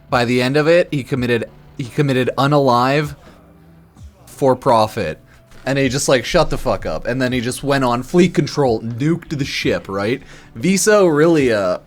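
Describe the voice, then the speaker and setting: A slightly tipsy man giving casual livestream commentary in a blunt, slangy, sweary way, often repeating himself and checking in with the listener. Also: medium pitch. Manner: repeats himself for emphasis, tags lines with "right?". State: a bit drunk. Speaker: game streamer.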